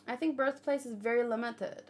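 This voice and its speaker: Higher-voiced woman chatting casually. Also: fairly even intonation, normal speed. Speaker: livestream host. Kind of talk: casual chat, manga discussion and commentary.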